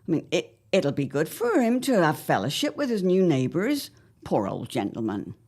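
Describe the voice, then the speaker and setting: A woman acting an anxious, fanciful farm wife of the early 1900s in an audio drama, with formal, dramatic diction and a husky voice. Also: deep voice, wildly swooping pitch, moderate pace, darkish tone, exaggerated voice. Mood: curious.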